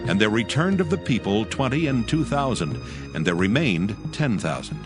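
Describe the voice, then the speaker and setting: A man with a lowish voice narrates scripture. His delivery is solemn and measured, with soft music beneath. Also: big pitch swings.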